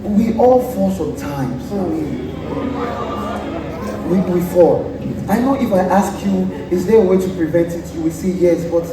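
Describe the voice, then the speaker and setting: A young man acting a hesitant, wheedling character in a stage play, pleading in halting phrases. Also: high-pitched, close to an everyday voice. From Nigeria. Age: young adult. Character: hesitant, wheedling, self-serving fallen man. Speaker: student stage actor. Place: theatre stage. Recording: echoey, noisy stage from far off.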